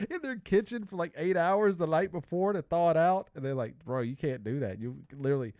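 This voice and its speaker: A man co-hosting a podcast, chatting casually and teasing his co-host with animated outbursts. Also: on the high side, brisk, no room sound, clean studio sound. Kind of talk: casual chat.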